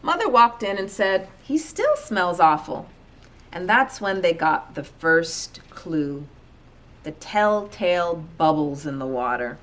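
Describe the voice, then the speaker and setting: A woman reading a children's story aloud in an expressive narrating style. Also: lowish voice, unhurried. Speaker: storybook reader.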